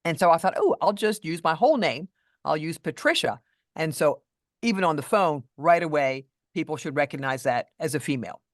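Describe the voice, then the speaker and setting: A middle-aged woman voice coach with a deeper voice than many women, speaking in a measured, thoughtful way as a guest in a casual interview. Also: animated, no echo, studio quality. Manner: measured, thoughtful, with restarts and fillers.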